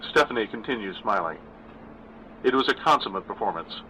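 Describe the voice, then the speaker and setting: A man in his sixties reads his own dark tale in a low, bright voice, measured and unhurried. Between stories he talks in a modest, self-deprecating way. There is a faint hiss behind him. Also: lively intonation, rough recording. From California. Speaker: author and narrator. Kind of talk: scripted horror narration and casual backstage talk.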